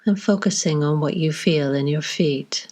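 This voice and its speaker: A woman leading a guided body scan meditation, giving slow, gentle instructions with long pauses between phrases. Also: sits low.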